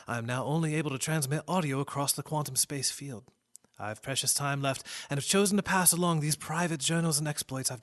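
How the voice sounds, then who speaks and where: A man's voice: on the high side, fairly bright tone, natural voice. A man acting a grave researcher who narrates a radio drama in a theatrical, portentous way. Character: grave doctor-researcher narrating a dying world's adventure tale.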